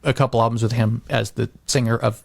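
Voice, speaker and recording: A man's voice: mid-range voice, little pitch movement, quick pace, vocal fry, husky, no echo. A man in casual podcast conversation, telling stories informally and acting out quotes as he goes. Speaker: podcast host.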